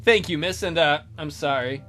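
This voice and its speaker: A man playing a polite, awkward detective who narrates his murder case in a measured way for a visual novel game. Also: fairly high pitch, a bit bright, faint music behind, voice pushed down, natural voice. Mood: inquisitive.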